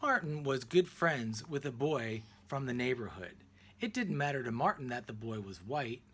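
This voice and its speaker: A man reading a children's picture book aloud expressively, in deliberate phrases with emphatic pauses. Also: medium pitch, big pitch swings, fairly bright tone, a little hiss or hum. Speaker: read-aloud narrator.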